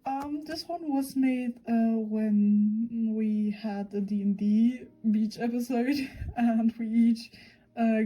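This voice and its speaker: A woman with a hoarse, cold-roughened voice shows off her sketchbook, rambling and giggling with lots of ums. Her delivery is slow and her tone very dark and mellow. Soft music plays faintly underneath. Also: medium pitch, low-quality recording. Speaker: VTuber artist. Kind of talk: casual sketchbook tour chat.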